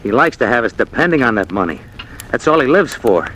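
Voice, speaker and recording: An actor playing a bitter, put-upon husband in a 1940s radio drama, with a sardonic, exasperated manner. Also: male voice, medium pitch, very animated, a little fast, a bit bright. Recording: noisy vintage radio recording.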